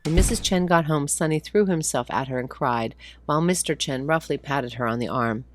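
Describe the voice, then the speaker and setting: A woman's voice: sits low, brisk, dry recording. A woman reading a short story aloud as a scripted narrator. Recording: faint background noise.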